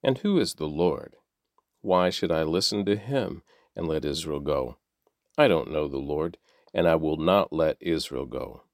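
A man's voice: very deep voice, animated, unhurried, no echo, clean studio sound, exaggerated voice. A man reading as a scornful ancient king. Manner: curt, dismissive orders. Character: stern, scornful ancient king, male ruler.

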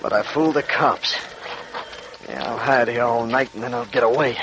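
An actor playing a vicious, desperate escaped convict with barking gangster menace in a 1940s radio crime drama. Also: male voice, mid-range voice, normal speed, fairly bright tone, natural voice. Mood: surly, exhausted. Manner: barking, menacing tough-guy gangster talk. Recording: noisy, crackly 1940s radio recording.

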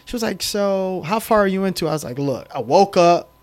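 A man, a film director telling his story as a guest in a casual interview, animated and full of ums. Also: high-pitched, fairly quick. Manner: animated storyteller, many ums, faith talk.

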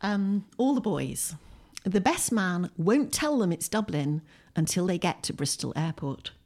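A woman with a British accent and a fairly low voice, recorded in a home. She speaks as a guest in a book chat, with keen, emphatic enthusiasm, and slips into reading aloud. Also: very animated, unhurried, darkish tone. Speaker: guest writer, poet. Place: a room in a home.

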